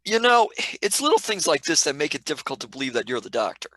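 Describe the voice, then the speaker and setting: Actor playing a bright-voiced, cheeky lad. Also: male voice, a little high, big pitch swings, fast, dry recording, full character voice. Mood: exasperated. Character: cheeky, skeptical young Cockney male companion, slangy. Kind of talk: live script reading, radio play.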